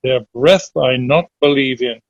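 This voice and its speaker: A man reading Shakespeare verse drama aloud as an amateur, in a measured, deliberate way over a dull online call. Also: medium pitch, no echo.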